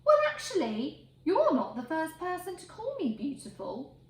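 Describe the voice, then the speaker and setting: A woman's voice: very high voice, wildly swooping pitch, normal speed, voice pushed up, exaggerated voice. A woman voicing a polite, blissfully pleased pig from a storybook, with gushing delight. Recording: roomy echo, faint background noise.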